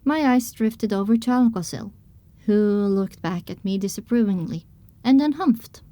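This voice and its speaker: A woman reading scripted first-person narration as the heroine of an audio drama, recounting her dark adventure. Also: mid-range voice, moderate pace, dry recording, close to an everyday voice. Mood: somber recounting.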